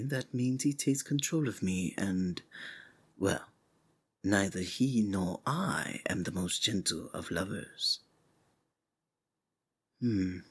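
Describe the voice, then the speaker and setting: A man playing a sinister, seductive cult preacher in an intimate ASMR roleplay, husky and breathy, often sinking into whispers, with archaic, formal endearments. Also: low voice, sharp tone, exaggerated voice. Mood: ominous, seductive, then hypnotic, possessive.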